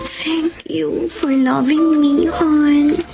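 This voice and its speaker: High-voiced, devoted young wife, music behind. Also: female voice, fairly even intonation, extremely slow, vocal fry, full character voice. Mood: tender, devoted. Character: devoted, respectful young wife and mother, eager to please. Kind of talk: Tagalog radio drama acting.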